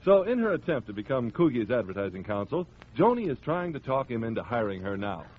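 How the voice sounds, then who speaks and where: Male 1940s radio announcer, animated mid-pitched delivery, slightly noisy old recording. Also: a little fast, highs cut off. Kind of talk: 1940s scripted radio narration.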